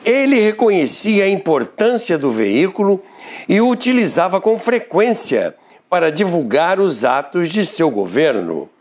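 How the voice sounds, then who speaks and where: An older Brazilian man narrates radio history from a script in a Brazilian Portuguese accent. His voice sits a little high for a man, and his pitch rises and falls with lively emphasis. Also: unhurried. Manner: expressive, emphatic delivery.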